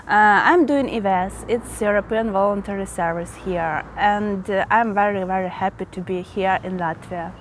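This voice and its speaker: A woman's voice: medium pitch, normal speed, hardly any pauses, a little hiss or hum. A woman from Ukraine speaks in a cheerful, emphatic way during an interview. Faint ambient sound is heard behind her. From Kyiv, Ukraine. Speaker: volunteer. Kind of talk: casual interview talk.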